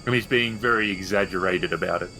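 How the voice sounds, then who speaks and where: A man's voice: deep voice, fast talker, pushed and bright, soft background music, poor audio. A man improvising scene narration as the game master of a tabletop roleplaying game.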